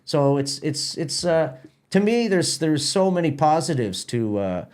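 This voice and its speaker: A man with a Canadian accent chatting casually as an interview guest, explaining things in a halting, rambling way with repeated words. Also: a little high, very animated, a little mellow. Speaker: festival organiser and stage manager, podcast guest.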